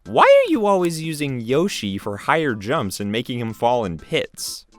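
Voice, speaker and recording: A man's voice: medium pitch, wildly swooping pitch, normal speed, dry recording. A man reading out fan questions as the announcer of a cartoon question show. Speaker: question reader.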